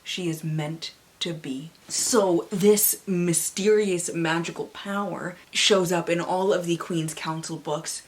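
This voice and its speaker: A woman presenting a book haul vlog, excitable and theatrical, with dramatic emphasis as she reads. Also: on the low side, takes it slowly, hardly any pauses. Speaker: book reviewer and vlogger.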